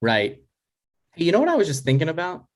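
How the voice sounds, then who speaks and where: A man playing a skeptical grown son with a dry, halting delivery in a conversational comedy table read. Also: on the high side, huge pitch swings. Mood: wry, fond.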